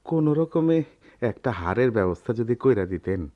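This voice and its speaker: A man's voice: mid-range voice, sing-song, fairly quick, dull audio, natural voice. Man acting humble, worried village goldsmith father. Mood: pleading. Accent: Bangladeshi rural dialect. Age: middle-aged. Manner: deferential, pleading. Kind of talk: Bengali radio drama acting.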